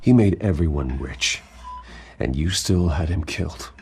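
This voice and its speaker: A man's voice: rumbling low, animated, measured pace, warm tone, exaggerated voice. An actor playing a grim young man who questions a crime boss tersely and coldly in a video game. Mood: probing. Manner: terse, cold, guarded. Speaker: interrogator in a game cutscene. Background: faint music.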